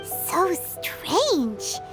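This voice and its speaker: Small fairy girl companion, squeaky childlike pitch, perky, hugely animated, video game dialogue, loud music behind. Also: drawn-out words, heavily acted. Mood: puzzled.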